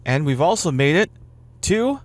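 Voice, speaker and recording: A man's voice: on the high side, sing-song, normal speed, dry recording. A man in his thirties co-hosting a casual podcast, playful and laughing, reading listener posts aloud. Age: 30s. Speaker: podcast co-host. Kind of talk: casual chat.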